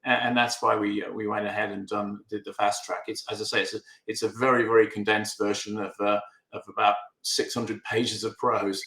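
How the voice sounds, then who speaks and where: English-accented male director, halting and wry, on a video call from a roomy, echoey space. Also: on the low side, level tone, fairly bright tone. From England. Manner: hesitant, many restarts and fillers. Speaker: filmmaker guest. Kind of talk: remote interview answers.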